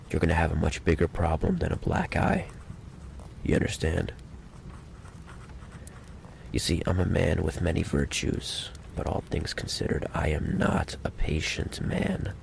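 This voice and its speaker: A man playing a menacing, impatient mafia boss in an ASMR roleplay, taunting the listener with slow, mock-courteous threats in a gravelly voice. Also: lively intonation, darkish tone, a little hiss or hum, exaggerated voice. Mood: cool menace. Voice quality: deep, gravelly. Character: menacing, possessive male mafia boss, smooth-talking and impatient.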